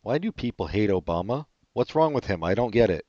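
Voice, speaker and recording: A man hosting a casual comedy chat, reading online questions aloud and answering with deadpan sarcasm. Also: fairly quick, no room sound. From California. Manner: sarcastic, deadpan jokes with sudden pitch jumps. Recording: dull, muffled lo-fi audio.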